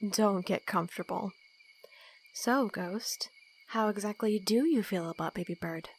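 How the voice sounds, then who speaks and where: A dry-witted young woman in an audio drama, played by an actress. She talks bluntly and teasingly, keeping her guard up in casual dialogue, with clear background noise and crickets around her. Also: medium pitch, measured pace, lightly acted, poor audio. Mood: serious, guarded.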